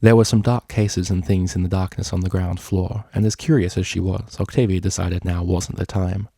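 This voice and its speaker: A man's voice: deep voice, little pitch movement, moderate pace, vocal fry, warm tone, breathy edge, no room sound, studio quality. A man reading a story aloud as scripted narration. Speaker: fan fiction reader.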